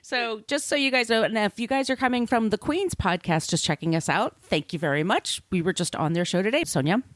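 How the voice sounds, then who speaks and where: A woman's voice: mid-range voice, expressive, fairly quick, no echo. Casual woman podcast host, dry sound. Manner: informal, salty-tongued.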